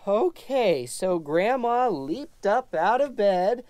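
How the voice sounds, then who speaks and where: Prim puppet dog storyteller, voiced by a woman: unhurried, expressive, easily exasperated, children's show. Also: mid-range voice, dry recording. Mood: determined storytelling.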